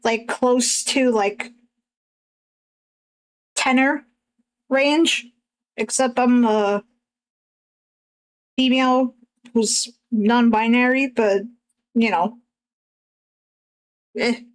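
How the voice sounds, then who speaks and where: Husky young woman streaming while she draws, kinda high voice, halting and unsure, lots of ums. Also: low-quality recording. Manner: hesitant, halting, many fillers and restarts. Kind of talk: casual chat while drawing on stream.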